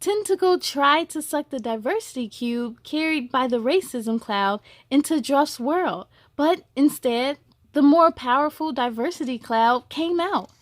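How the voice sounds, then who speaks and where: A woman's voice: sits high, expressive, measured pace, dry recording. A woman hosting a storytime, reading a children's book aloud expressively with chatty, encouraging asides.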